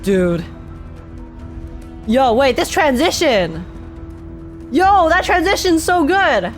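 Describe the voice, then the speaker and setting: A young woman streaming a game with casual, excitable, slangy live commentary. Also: high-pitched, takes it slowly. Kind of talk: casual live reaction commentary over gameplay. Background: faint game music.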